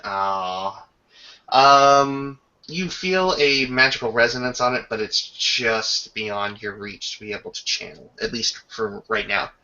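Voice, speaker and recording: Bright, gravelly man running a tabletop game. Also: medium pitch, unhurried. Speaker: game master of a tabletop roleplaying session. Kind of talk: improvised game narration and rules talk. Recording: dull lo-fi voice chat.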